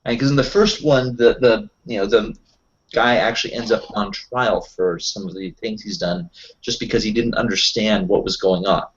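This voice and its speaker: A man chatting casually as a tabletop roleplaying player, pausing mid-thought as he goes. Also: on the low side, husky. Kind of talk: casual chat. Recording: dull lo-fi voice recording.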